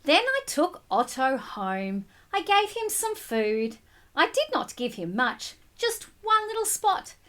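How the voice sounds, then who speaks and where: A woman reading a picture book aloud to children in an expressive way, with simple explanatory asides addressed to them. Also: high voice, slow pace. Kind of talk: animated storybook read-aloud with asides to children.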